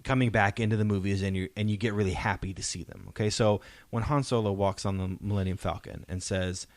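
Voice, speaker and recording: Low-voiced, enthusiastic man hosting a podcast. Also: steady tone, brisk, no room sound. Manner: enthusiastic, emphatic, seeking agreement. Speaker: podcast host. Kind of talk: casual fan discussion.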